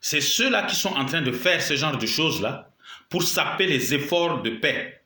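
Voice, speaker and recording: Bright, echoey man with a cold. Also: fairly high pitch, animated, moderate pace. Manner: indignant, repeats points for emphasis. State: has a cold, sore throat, coughing. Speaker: political commentator. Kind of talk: spoken video statement.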